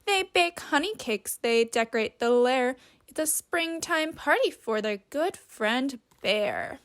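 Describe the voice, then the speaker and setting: A woman with a high voice reads a children's story aloud like a teacher. Her delivery is slow and deliberate, with an instructive manner that makes room for questions. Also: animated, a bit bright.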